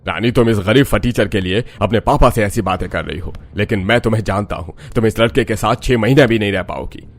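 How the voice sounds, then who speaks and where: A man's voice: fairly low pitch, brisk. A man playing an angry, disdainful rich middle-aged father in a Hindi cartoon story, scolding in a stern, theatrical way. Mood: scornful, warning.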